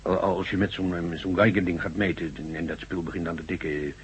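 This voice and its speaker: Actor as deep-voiced uneasy burly workman. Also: fairly even intonation, a little fast, natural voice. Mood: hesitant, anxious. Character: burly, folksy male construction worker, deferential to boss, uneasy. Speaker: actor in a radio play. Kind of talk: Dutch science fiction radio drama acting. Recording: dull, noisy old radio recording.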